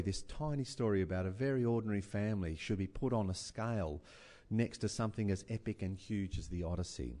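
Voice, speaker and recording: A man's voice: mid-range voice, a little mellow. A man speaking on a writers festival panel, earnest and reflective, often restarting and repeating words as he thinks aloud. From Australia. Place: writers festival session before an audience.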